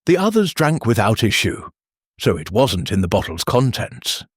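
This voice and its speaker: Man reading as careful, husky medical expert. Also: on the low side, sing-song, dry recording, clean studio sound. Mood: pondering. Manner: deliberate, explanatory.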